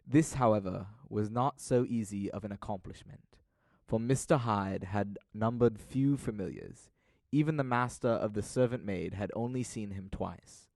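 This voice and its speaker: A man's voice: on the low side, normal speed, vocal fry, darkish tone, dry recording. A man narrating an audio drama as a scripted story, with a formal, literary delivery.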